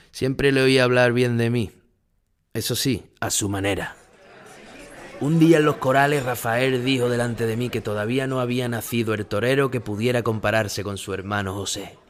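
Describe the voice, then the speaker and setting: An actor playing an aging, haunted Spanish bullfighter who recalls his past gravely in a dramatic audio monologue. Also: medium pitch, normal speed, natural voice. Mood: wistful.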